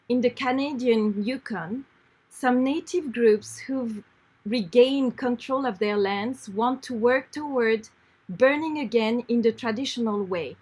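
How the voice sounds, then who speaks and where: A woman reading an article aloud in a measured, unrehearsed way, repeating phrases when she stumbles. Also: a little high, slightly rough, poor audio. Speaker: reader.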